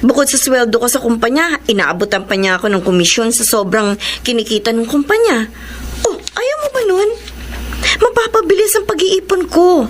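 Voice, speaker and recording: A woman's voice: on the high side, expressive, normal speed, slight background noise, close to an everyday voice. An actress playing a polite young woman who is new at her office job and engaged to be married, in a radio drama. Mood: eager. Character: young female office worker, polite and eager. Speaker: new employee. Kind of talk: classic radio drama acting.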